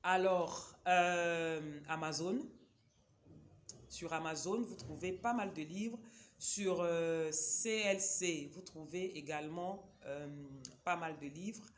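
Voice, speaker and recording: A woman's voice: lowish voice, takes it slowly. Chatty woman vlogger. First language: a Cameroonian local language. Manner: chatty, digressive, talks directly to viewers. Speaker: vlogger presenting books. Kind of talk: casual book-recommendation vlog.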